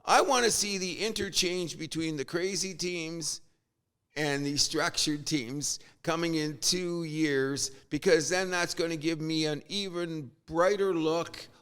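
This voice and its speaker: A man's voice: on the high side, a little slow, fairly bright tone, smooth. A middle-aged man from Canada, ranting with exasperation and casual swearing as a sports podcast host. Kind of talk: opinionated sports rant.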